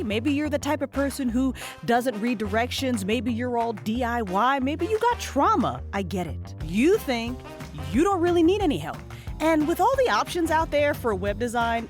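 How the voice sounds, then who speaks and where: Animated, high-voiced woman scolding in an ad. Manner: bossy, comic scolding. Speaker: web designer advertising own services. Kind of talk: conversational ad read. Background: music.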